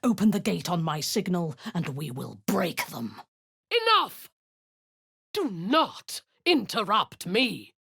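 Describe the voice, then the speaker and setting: An imperious, husky warrior-commander. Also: female voice, big pitch swings, slow, fairly bright tone, heavily acted. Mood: commanding. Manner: low, husky, half-whispered menace. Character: cold, imperious woman warrior-commander, zealous cultist. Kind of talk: video game character acting.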